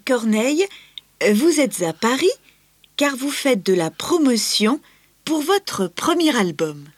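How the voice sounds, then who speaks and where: A woman playing a breathy, polite interviewer. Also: sits high, sing-song, takes it slowly, no echo, heavily acted. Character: female interviewer, polite and curious. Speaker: interviewer in a scripted listening-test dialogue. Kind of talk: scripted dialogue reading.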